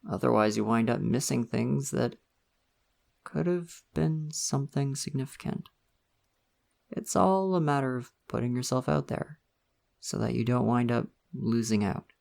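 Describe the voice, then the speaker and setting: Man playing bashful male college crush, ASMR roleplay, casual and rambling, awkwardly flirty, animated intonation, crackly vocal fry. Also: mid-range voice, measured pace. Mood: reflective, friendly. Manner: hesitant, rambling, chuckling self-consciously. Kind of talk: intimate ASMR roleplay, casual conversational acting.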